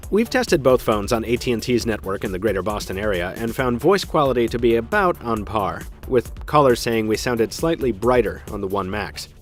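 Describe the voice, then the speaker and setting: Lower-pitched man giving a wry tech review. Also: expressive, normal speed, few pauses, soft background music. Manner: wry, emphatic, dry asides. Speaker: tech reviewer, show host. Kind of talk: scripted product review narration.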